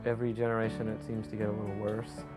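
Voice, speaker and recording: A man's voice: on the low side, completely flat delivery, fast. A twenty-year-old man speaking candidly about his own life in an interview. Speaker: interviewee, foster youth. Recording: guitar music under the voice.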